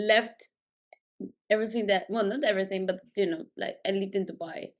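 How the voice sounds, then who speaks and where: A woman with a Colombian accent chats as a podcast guest. She tells her story in short, quick fragments and laughs often. She talks over a remote call. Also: medium pitch. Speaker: podcast guest, business founder. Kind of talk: casual interview chat. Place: remote call from a jungle location.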